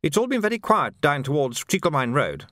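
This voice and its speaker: A man voicing a cautious army captain who gives measured, dry advice. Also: fairly high pitch, sing-song, fairly bright tone, dry recording, voice pushed up.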